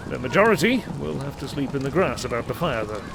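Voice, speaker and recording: A man's voice: mid-range voice, sing-song, fast, creaky, noisy background. A man playing a dutiful cavalry captain of fantasy knights in an audio drama, formal, courteous and military in manner. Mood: earnest, courteous.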